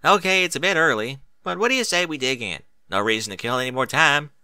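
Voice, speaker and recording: A man's voice: medium pitch, animated, fast, pushed and bright, clean tone, no echo, studio quality, voice pushed up. A man voicing a plain-spoken, hospitable female farm pony with a folksy, teasing manner in a story reading.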